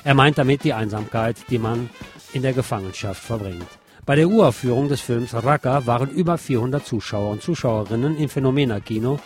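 A male news presenter reads from a script for a local radio bulletin. His voice sits at an average pitch for a man. Instrumental guitar music plays quietly behind him.

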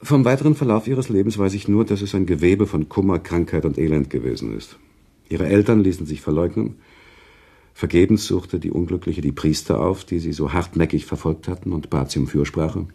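An actor playing an earnest, morally indignant author in a German radio play, speaking in formal, eloquent and reproachful sentences. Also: male voice, low-pitched, a little fast, creaky, dark tone, slightly rough, exaggerated voice. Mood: bitter, sombre. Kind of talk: German radio play dialogue, literary storytelling.

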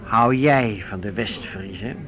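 A man's voice: fairly low pitch, takes it slowly, a bit bright. An actor in a Dutch radio play voicing a scheming medieval man, persuasive and conspiratorial. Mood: sly, persuasive. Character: scheming male medieval schemer plotting a kidnapping.

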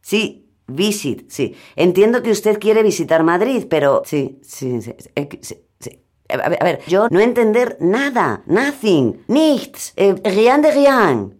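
An actress from an audio fiction, with an accent from Spain, playing a flustered, exasperated but kind-hearted woman, speaking colloquially with halting foreign phrases and muttered asides. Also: mid-range voice, very animated, darkish tone. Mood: flustered, insistent.

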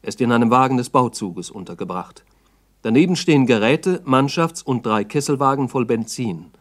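A man's voice: medium pitch, expressive, normal speed. A man narrating a 1960s documentary radio drama in a terse, factual reporting manner.

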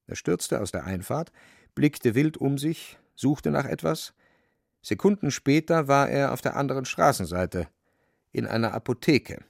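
A man's voice: mid-range voice, lively intonation, unhurried, vocal fry, dry recording. A man delivering scripted literary narration for a radio drama.

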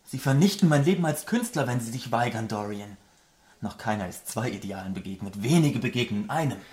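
An actor in a German radio drama playing an earnest, adoring painter who is troubled and wistful and speaks in formal, confessional phrases. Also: male voice, mid-range voice, animated, fairly quick, darkish tone, close to an everyday voice. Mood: wistful, resigned.

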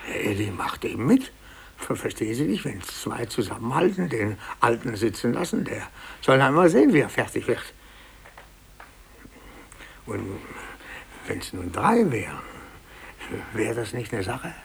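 Husky, gravelly older office man. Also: mid-range voice, big pitch swings, measured pace, long pauses, full character voice. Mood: coaxing, insistent. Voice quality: raspy, husky. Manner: hesitant, insistent, repeats himself. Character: bitter older male office employee, wheedling and pressuring colleagues. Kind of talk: 1950s German radio play acting.

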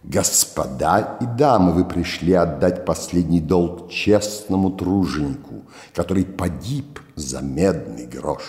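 A man's voice: lowish voice, darkish tone, reverberant, big-room sound. An older man in his sixties voicing an Odessa gangster who gives a grand, declamatory speech at a graveside. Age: 60s. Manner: rhetorical, declamatory oratory.

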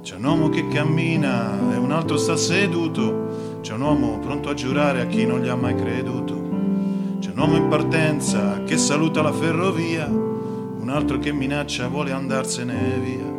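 A man with a mid-pitched voice recites lyrics in a rhythmic spoken-word style. Loud plucked guitar runs all through his delivery, and his cadence follows a repeating pattern. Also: normal speed. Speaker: performer reciting song lyrics. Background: loud acoustic guitar.

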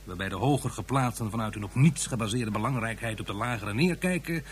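A dry male aide, voiced by a man, lecturing in a matter-of-fact way at a brisk pace. A faint hum sits behind him in this radio play. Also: medium pitch, animated, close to an everyday voice.

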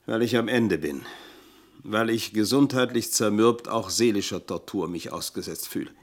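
A man's voice: lowish voice, normal speed, natural voice. A middle-aged man in a German radio play, playing a scheming manservant who speaks in stilted, formal, self-pitying phrases. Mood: weary, aggrieved. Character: aggrieved, pompous, scheming ageing manservant.

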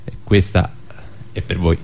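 A man speaking unscripted in a radio discussion, halting and repeating words as he searches for phrasing.

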